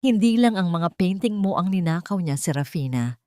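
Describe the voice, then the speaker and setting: Woman voicing a quietly firm male lawyer. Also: on the low side, expressive, moderate pace, no echo, studio quality, close to an everyday voice. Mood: restrained anger. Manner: quiet but emphatic. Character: formal male family lawyer, quietly firm, holding back anger.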